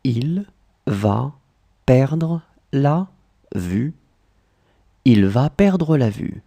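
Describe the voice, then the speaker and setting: Very slow dictation voice, dark and gravelly, lively pitch, repeats phrases chunk by chunk. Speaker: language tutor.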